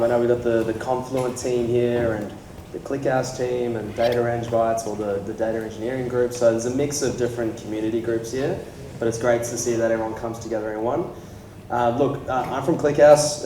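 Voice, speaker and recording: A man with a mellow voice opens a community meetup as host. He speaks informally and haltingly to the room. The mic sounds distant in an echoey space with faint noise. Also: medium pitch. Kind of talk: informal welcome speech to a live room. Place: indoor meetup room with audience.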